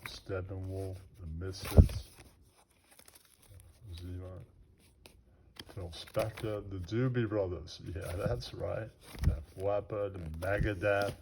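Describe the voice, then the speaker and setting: Slow drawly guy rambling casually, keeps repeating catchphrases, noisy clicky recording. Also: on the low side, gravelly. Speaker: vlogger showing off a record collection. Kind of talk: rambling casual show-and-tell.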